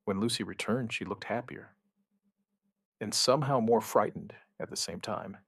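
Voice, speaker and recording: A man narrating a scripted first-person survivor horror story, gravely and in short, measured phrases with dramatic pauses. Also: on the low side, a bit bright, no room sound, clean studio sound.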